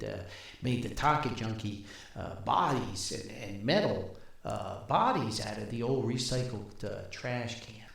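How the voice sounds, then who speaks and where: An older man telling a rambling, casual story as a podcast host, with a folksy, wry, halting manner. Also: medium pitch, gravelly. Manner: folksy, wry, animated, halting with long asides. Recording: very echoey, reverberant room.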